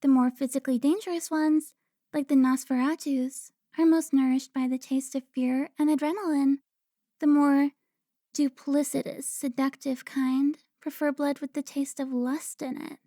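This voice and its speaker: Woman playing a high-voiced, taunting seductive vampire. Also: steady tone, mellow, dry recording, clean studio sound, lightly acted. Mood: playful, gloating. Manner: taunting, drawn-out, theatrical. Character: teasing, seductive female vampire informant, haughty and playful. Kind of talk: cinematic audio roleplay acting.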